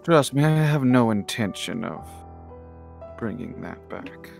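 A man playing a sly, smug villain in an amateur Minecraft roleplay, with a creaky, conspiratorial drawl. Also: lowish voice, lively intonation, a little slow, faint music behind, heavily acted. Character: sly, smug male villain at evil tea party.

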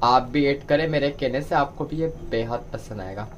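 A man's voice: mid-range voice, moderate pace, a little hiss or hum. A man giving a step-by-step cooking tutorial as a home cook, instructing viewers directly. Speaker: home cook presenting a recipe.

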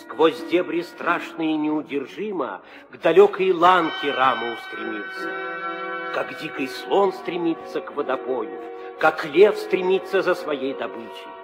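Slow solemn epic storyteller. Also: male voice, a little high, lively intonation. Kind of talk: poetic scripted narration. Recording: old studio recording under loud music.

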